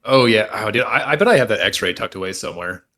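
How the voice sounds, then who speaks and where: A man's voice: fairly low pitch, lively intonation, quick pace, pushed and bright. A young man on a podcast telling stories about himself, rambling with many fillers and poking fun at himself. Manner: rambling, lots of likes and dudes, self-mocking. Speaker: podcast co-host. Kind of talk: casual storytelling chat.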